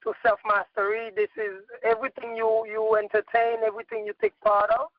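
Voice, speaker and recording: A man from Haiti, hosting a casual spiritual chat and speaking haltingly, with many fillers and repeated words. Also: sits high, completely flat delivery, no room sound, narrow, tinny audio. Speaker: host.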